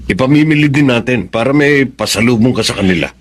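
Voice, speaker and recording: An actor playing an indulgent, suave boss and secret lover in a Tagalog radio drama, with a Filipino accent. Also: male voice, medium pitch, expressive, quick pace, crowd noise, lightly acted. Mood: coaxing, indulgent.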